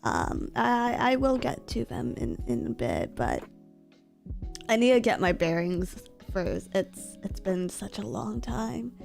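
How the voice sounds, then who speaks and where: A woman streamer who is sick and congested, talking softly and close to the mic while reading out donations in a casual chat. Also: sing-song, slow pace, faint music behind. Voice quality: low husky sick voice. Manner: soft-spoken, mic held close. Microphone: close desk mic.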